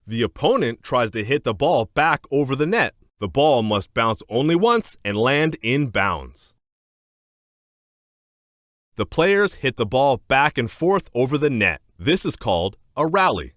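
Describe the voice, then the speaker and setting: A man narrating a children's educational book aloud in a scripted, clear and deliberate instructional manner. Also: mid-range voice, big pitch swings, a bit bright, no echo, clean studio sound.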